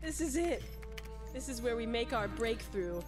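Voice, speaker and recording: A woman as a grandiose scheming gamer gal, highish voice. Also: lively intonation, moderate pace, over music, lightly acted. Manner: grand proclamations mixed with casual swearing. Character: scheming, grandiose, easily confused female gamer adventurer. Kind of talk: improvised comedy game fandub.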